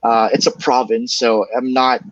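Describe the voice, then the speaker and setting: Filipino man with a halting, dull-sounding voice. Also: medium pitch, little pitch movement, dry recording. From Philippines. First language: Bicol. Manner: halting, many restarts and fillers, laughs lightly. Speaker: guest, email copywriter. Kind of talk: casual interview answers.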